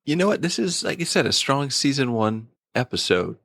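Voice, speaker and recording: A man's voice: medium pitch, big pitch swings, normal speed, creaky, fairly bright tone, slightly rough, dry recording, clean studio sound. A man chatting casually as a podcaster, with some light comic role-play. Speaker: podcaster and guest in a comic radio drama.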